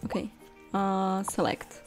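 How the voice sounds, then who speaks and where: A young woman giving casual gameplay commentary, expressive and musing to herself. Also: medium pitch, slow. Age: young adult. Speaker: video game streamer. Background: soft game music.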